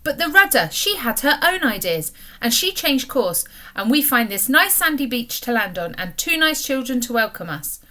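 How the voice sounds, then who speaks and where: A woman's voice: high-pitched, very animated, normal speed, few pauses, bright, forceful tone, full character voice. A woman voicing a cheerful, burly male Russian sea captain with a put-on Russian accent, speaking grand, broken English. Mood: jolly.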